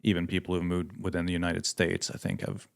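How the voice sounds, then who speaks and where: Man, 30s, Swedish accent, deep voice, gravelly, relaxed chatty interview guest. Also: brisk. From Sweden. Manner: relaxed, many fillers like "like" and "you know". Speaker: guest, missionary pilot. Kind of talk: casual conversational interview.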